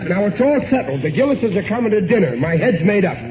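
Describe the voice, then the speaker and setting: A man's voice: high voice, very animated, quick pace. An American actor playing a sentimental, blustering, dim working-class family man with ungrammatical speech in a radio sitcom. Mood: indignant. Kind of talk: 1940s radio sitcom acting. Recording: noisy, muffled vintage radio broadcast.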